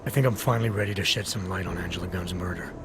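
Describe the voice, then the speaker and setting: A terse game investigator who sets things out methodically. His voice sits low and moves briskly, clipped and matter-of-fact. Music is clearly audible behind him. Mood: resolved. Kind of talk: video game cutscene dialogue.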